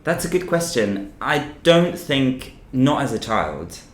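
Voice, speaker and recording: Man, mid-range voice, halting casual chat, small echoey room. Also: medium pitch, sing-song. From Austria. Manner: hesitant, with many ums and restarts. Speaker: podcast co-host. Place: small echoey office by a street.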